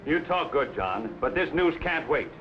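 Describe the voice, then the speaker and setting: Pushy gold-rush newspaperman: highish voice, big swings, declamatory old serial delivery. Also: very animated, normal speed. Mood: defiant, threatening. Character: Sam, brash, opportunistic gold-rush newspaperman and trader. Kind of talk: old adventure serial acting. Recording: dull, faintly noisy vintage recording.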